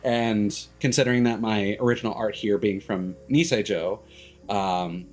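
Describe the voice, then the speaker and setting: A man hosting a livestream in casual chat, rambling and haltingly backtracking mid-sentence. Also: on the low side, steady tone. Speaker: streamer host. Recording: music playing behind the voice. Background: background music.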